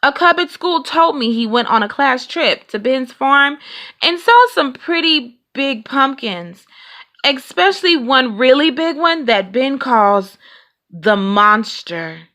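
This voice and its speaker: Woman as a high-pitched boyish bear cub. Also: expressive, slow pace, bright, forceful tone, exaggerated voice. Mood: tentative excitement. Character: young brother bear cub, tentative, in a storybook.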